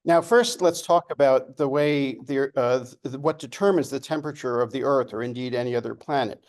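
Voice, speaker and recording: A man's voice: medium pitch, a little fast, darkish tone. A man presenting a physics lecture over a video call, explaining step by step with some restarts. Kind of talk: academic lecture. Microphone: remote video call.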